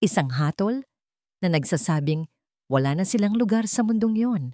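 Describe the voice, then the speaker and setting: A woman narrating a scripted story in a measured, dramatic way. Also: mid-range voice, animated, mellow, no room sound, clean studio sound.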